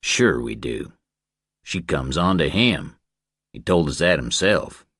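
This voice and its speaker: A man voicing a cold, boastful Texas ranch hand in an audiobook, speaking with slow folksy menace. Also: a bass voice, animated, fairly bright tone, breathy edge, no echo, clean studio sound, exaggerated voice. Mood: menacing boast.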